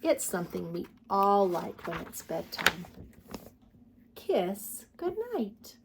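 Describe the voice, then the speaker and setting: Slow, very expressive woman reading to children. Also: medium pitch, vocal fry, noisy background. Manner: expressive, addresses young listeners with questions. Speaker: children's storytime host and reader. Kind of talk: read-aloud picture book narration for preschoolers.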